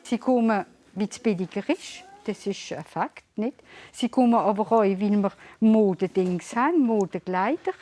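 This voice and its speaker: A woman's voice: medium pitch, normal speed, dark tone. A woman on the shop staff plainly explaining why people come.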